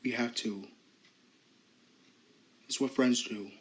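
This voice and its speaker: A man playing a gentle friend who speaks softly and soothingly, hesitating with small fillers. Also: mid-range voice, steady tone, slow, voice pushed down, natural voice. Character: gentle supportive male friend. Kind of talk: amateur drama acting.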